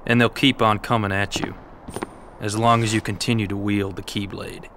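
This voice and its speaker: A man's voice: lowish voice, little pitch movement, moderate pace, fairly bright tone, slight background noise, natural voice. An actor playing a stern young swordsman in a game cutscene who speaks in terse, clipped lines. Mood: cool.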